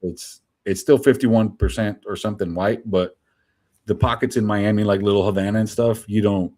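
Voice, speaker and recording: A man from New Jersey whose first language was Spanish speaks in a low voice with a mellow tone. He talks candidly and loosely as an interview guest, with lots of "you know" and "like" along the way. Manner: rambling, filler-heavy, candid. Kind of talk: casual interview chat.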